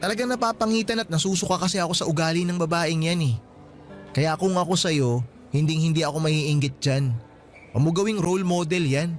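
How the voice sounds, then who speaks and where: A man's voice: fairly high pitch, a little fast, faint music behind, lightly acted. An actor playing a young male student boyfriend in a radio drama, playful and teasing but frank when he turns serious.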